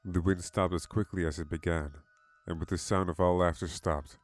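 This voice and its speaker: A man's voice: low voice, steady tone, moderate pace, no echo, faint music behind. A man reading a scripted horror story as its narrator.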